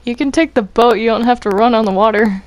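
A woman's voice: a little high, fast talker, dry recording. A woman chatting casually as she hosts a multiplayer game roleplay session. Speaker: host of a Minecraft roleplay series. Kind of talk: casual gaming chat.

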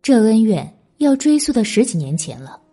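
A woman reads a novel aloud in a dramatic narrating style. Her voice is a little high and moves expressively, with a husky edge. Faint music plays underneath. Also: very animated, normal speed, dark tone. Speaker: audiobook narrator.

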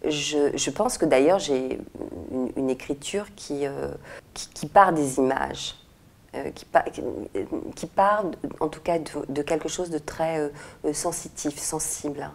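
A woman in her forties, an author, answering interview questions thoughtfully with frequent pauses and restarts, recorded on a lapel mic in a quiet indoor room. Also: sits low, gravelly. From France and Morocco. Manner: thoughtful, many hesitations and restarts. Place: indoor room with red walls, seated in armchair.

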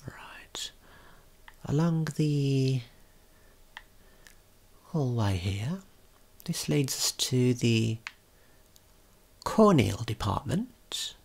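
A man giving a guided ASMR tour in a soft, breathy whisper, gently explaining without hurry. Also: mid-range voice, expressive, very slow, pauses often.

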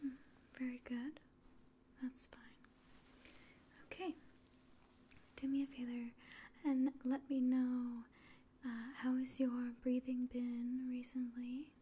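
A woman in her twenties doing a whispered ASMR roleplay in a soft, breathy, gentle and reassuring voice, close to the mic. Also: sits high, completely flat delivery, slow, long pauses, slight background noise. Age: 20s. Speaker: ASMR performer playing an alien medical examiner. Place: small indoor room.

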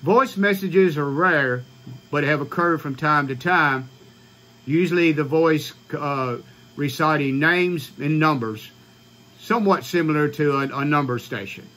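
A man in his sixties with an American accent, explaining informally to camera in a halting, matter-of-fact way. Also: fairly high pitch, sharp tone. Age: 60s. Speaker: ham radio vlogger. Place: small home radio room, indoors.

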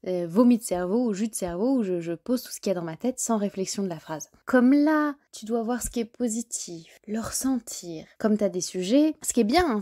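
A woman's voice: mid-range voice, dark tone. A French woman hosting a solo podcast, thinking aloud with many fillers and restarts as she reflects on an experience. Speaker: podcast host.

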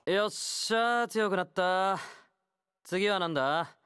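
A man's voice: sits high, slow pace, sharp tone, clean tone, full character voice. A male actor playing a laid-back young convict fighter in a game, blunt, teasing and nonchalant, with a drawl. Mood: pleased. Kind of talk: Japanese game character voice lines.

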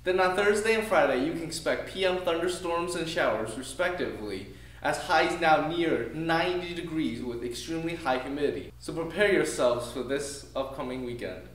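A man's voice: fairly high pitch, animated, normal speed, fairly bright tone. Man, twenties, weather presenter, formal scripted read, echoey room, distant mic.

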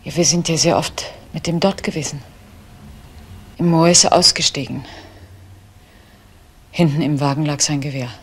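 A husky older woman in a radio crime drama. She confesses haltingly, subdued and distressed, with a rough edge to her voice. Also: low-pitched, fairly even intonation, full character voice. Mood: halting, remembering.

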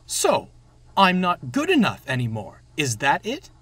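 A man's voice: a little high, huge pitch swings, a little slow, fairly bright tone, pitched above the performer's own voice, exaggerated voice. A man voicing a proud, easily hurt young tank engine in a storybook, with an earnest, emphatic manner. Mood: huffy.

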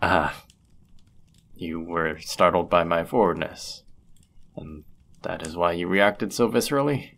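Male actor as flirty medieval innkeeper, low-pitched, gravelly fry, courtly wording, suave teasing, fantasy audio roleplay. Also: measured pace, long pauses, fairly bright tone, exaggerated voice. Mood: flirtatious. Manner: formal, ornate, suave, teasing phrasing. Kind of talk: scripted roleplay audio drama, addressing the listener.

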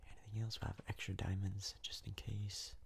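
A man whispers his way through ASMR game commentary in a low, very breathy and husky voice. Heavy gravelly fry runs through it. He rambles hesitantly, often doubling up on words. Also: flat delivery, normal speed. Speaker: gaming video host.

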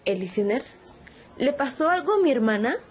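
A woman's voice: high-pitched, sing-song, normal speed. A woman playing a sweet, bewildered young girl in an audio roleplay, with a hesitant, naive manner. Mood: worried. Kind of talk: ASMR audio roleplay acting.

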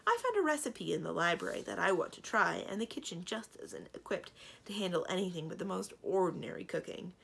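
A woman voicing a strong-willed young storybook princess who speaks in a brisk, emphatic, lecturing way. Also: lowish voice, very animated, vocal fry, fairly bright tone, slightly rough, exaggerated voice. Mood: brisk, exasperated. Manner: emphatic, lecturing, matter-of-fact. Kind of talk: storybook character dialogue.

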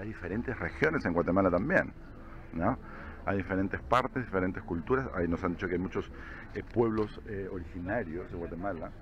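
A man's voice: sits low, lo-fi sound. A man in his forties with a Peruvian accent, chatting casually as a travel vlogger, laughing often and tagging phrases with little questions. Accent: Peruvian Spanish. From Peru. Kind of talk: casual travel vlog narration. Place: outdoor crafts market. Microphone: camera held at arm's length.

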